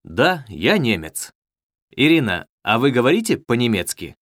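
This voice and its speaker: A man reading as a polite young male student, lively intonation, dry studio sound, careful clear delivery. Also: medium pitch, normal speed, no room sound. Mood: friendly. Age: young adult. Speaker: dialogue actor in a listening test. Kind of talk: scripted textbook dialogue.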